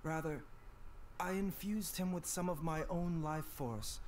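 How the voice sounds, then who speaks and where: An actor playing a gentle, secretive young doctor in a video game, speaking politely in measured, formal phrases. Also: male voice, a little high, slight background noise, lightly acted. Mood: calm, guarded. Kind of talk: scripted video game voice acting.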